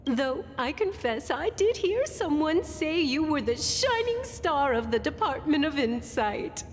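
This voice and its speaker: A woman voicing a flattering, folksy elder with failing eyesight in a video game. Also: high voice, measured pace. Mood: doting, wheedling. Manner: gushing, folksy, slightly grumbling. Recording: long reverb, faint music behind.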